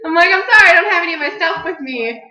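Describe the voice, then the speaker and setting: Young woman host, high bright voice, chatty, roomy muffled webcam sound. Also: animated, very fast. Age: young adult. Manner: chatty and giggly, breaks into singing. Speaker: vlog host. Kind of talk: casual chat. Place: small apartment room at night, indoors. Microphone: laptop webcam at desk distance.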